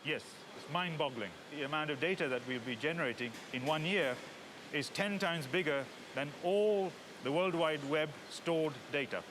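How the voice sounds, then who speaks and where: A man's voice: a little high, normal speed, background noise. A man interviewed as a scientist, speaking emphatically. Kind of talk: documentary interview.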